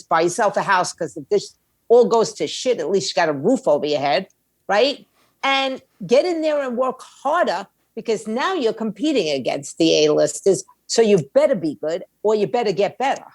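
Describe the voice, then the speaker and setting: Straight-talking lady in an interview, really emphatic and slangy, normal pitch, dry sound. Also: no room sound. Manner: blunt, emphatic, uses slang and rhetorical questions. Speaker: music industry attorney and indie label owner, interview guest. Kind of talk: opinionated interview talk.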